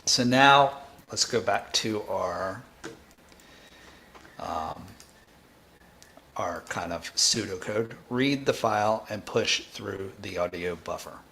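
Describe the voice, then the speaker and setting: Male livestream instructor, lowish voice, very slow informal delivery that keeps interrupting itself as he works through code. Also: vocal fry, pushed and bright, a little hiss or hum. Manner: halting, self-interrupting, informal. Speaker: livestream host, programming instructor. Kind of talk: live coding tutorial, thinking aloud.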